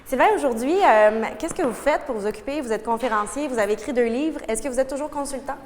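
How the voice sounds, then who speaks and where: A woman interviewing a guest, asking brisk, prepared questions. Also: high-pitched. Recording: reverberant room with faint noise.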